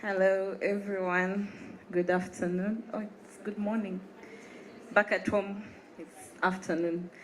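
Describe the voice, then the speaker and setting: A woman's voice: mid-range voice, level tone, measured pace, slight background noise, rough recording. A woman from Uganda introducing herself and her fashion business at a live event, telling her story in a friendly way. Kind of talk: casual onstage talk.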